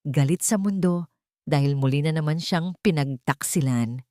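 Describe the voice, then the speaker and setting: A woman's voice: low voice, normal speed, dark tone, no room sound, studio quality. A woman narrating a scripted romantic drama as a storyteller.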